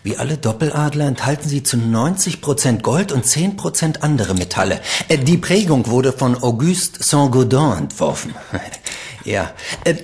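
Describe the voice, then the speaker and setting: Slick polite coin shop man, lively tone. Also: mid-range voice, slight background noise. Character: smooth, courteous male coin dealer. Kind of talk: radio play acting.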